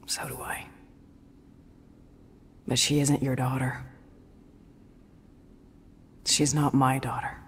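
An actress playing the solemn, tender ghost of a dead mother in a video game, with a hushed, earnest delivery. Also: extremely low pitch, level tone, slow pace, pauses often, husky, in a whisper, heavily acted. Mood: sorrowful. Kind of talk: dramatic video game cutscene acting. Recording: long reverb, noisy ambience.

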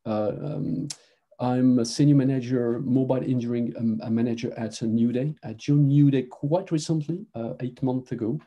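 A middle-aged man from France with a French accent, presenting a webinar over a video call and talking haltingly with many fillers and restarts. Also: mid-range voice, lo-fi sound. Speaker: presenter, mobile engineering manager.

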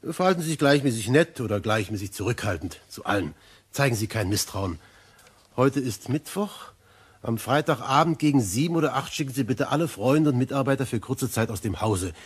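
A police inspector in a crime radio play. His voice is somewhat husky, and he questions and instructs in a dry, matter-of-fact, authoritative way. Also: mid-range voice, expressive, a little fast. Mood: authoritative, instructing. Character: brisk, probing, dry Scotland Yard police inspector.

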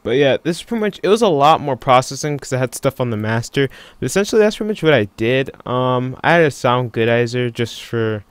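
A man giving a casual software tutorial in an offhand, unscripted way, thinking aloud as he works. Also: mid-range voice, lively intonation, quick pace, few pauses, smooth, no echo. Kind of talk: casual screen-recording tutorial.

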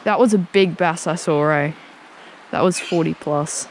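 A young man hosting a fishing vlog, speaking in brief, relaxed remarks with quiet enthusiasm, recorded outdoors on an action camera. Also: a little high, a little slow, slight background noise, rough recording. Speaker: fishing channel host. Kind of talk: casual fishing vlog chat. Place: outdoors on a creek bank. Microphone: wearable action camera.

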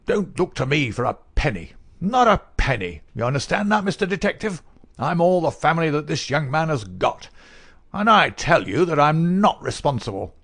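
A man reading aloud, voicing a querulous, miserly old male lord with a sharp crackling voice and an indignant, shrill manner. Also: sing-song, creaky, full character voice. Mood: scolding. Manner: shrill, indignant, rapid-fire.

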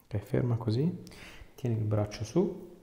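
A man's voice: medium pitch, expressive, a little slow, gravelly, warm tone. A man, an osteopath giving short, informal, reassuring instructions and questions to a patient. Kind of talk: hands-on treatment session talk, giving short instructions and questions. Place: small clinic treatment room, indoors. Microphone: clip-on lapel mic. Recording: echoey room, audible background noise.

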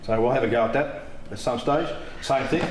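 An Australian man in his sixties talks hesitantly, with plenty of fillers and restarts, at a mid pitch. He is recorded in a very echoey metal workshop, and steady noise sits behind his voice. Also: a little fast. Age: 60s. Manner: rambling, hesitant, laughs easily. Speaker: car collector. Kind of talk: casual interview chat. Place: large metal workshop shed, indoors.

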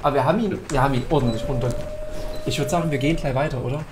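A man's voice: medium pitch, rapid-fire, noisy background. A man streaming a co-op horror game, casually chatting and directing his teammates, yelping when attacked. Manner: directs the team, yelps and shouts when attacked. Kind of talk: casual gaming chat with teammates.

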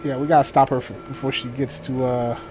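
A man's voice: medium pitch, level tone, fairly quick, darkish tone, slight background noise, poor audio. A man doing improvised police radio call-outs in a game, mixing clipped procedural jargon with casual muttered asides. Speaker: gamer playing a sheriff's deputy in a police patrol role-play. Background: game vehicle and ambient sounds.

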